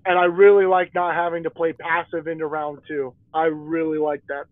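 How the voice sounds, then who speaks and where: High-voiced man joking about a game. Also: little pitch movement, normal speed, no room sound, narrow, tinny audio. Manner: joking, playful banter. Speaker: gaming co-host. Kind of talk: casual gameplay commentary.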